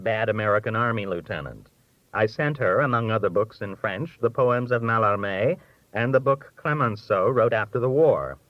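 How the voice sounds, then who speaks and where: A man narrating a humorous literary essay in a measured, expressive, dryly delivered storytelling style. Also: medium pitch, no room sound, dull audio. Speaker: audiobook reader.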